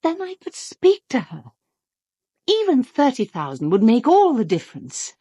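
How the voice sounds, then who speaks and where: High-pitched, sardonic British woman. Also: wildly swooping pitch, mellow, breathy edge, no echo, studio quality, exaggerated voice. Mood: scheming, persuasive. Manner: brisk, sardonic. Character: sharp, scheming, outspoken woman, cousin of an actress. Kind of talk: full-cast radio drama acting.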